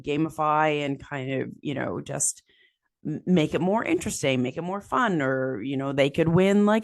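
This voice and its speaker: A woman hosting a solo podcast, raspy after being sick, talking in a chatty, upbeat way with lots of fillers and small laughs. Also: deep voice. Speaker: podcast host. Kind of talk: solo podcast tips talk.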